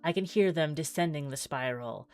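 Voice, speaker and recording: A woman's voice: low voice, moderate pace. A woman streaming a visual novel, reading the text aloud theatrically with wry, exclaiming asides.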